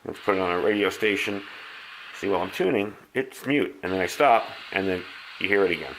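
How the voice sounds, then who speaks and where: A man's voice: low-pitched, a little fast, fairly bright tone, slight background noise, rough recording. A man with an American accent giving an informal, hands-on product demonstration, talking through each step as he goes. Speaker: radio hobbyist reviewing gear. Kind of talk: casual product review and demonstration.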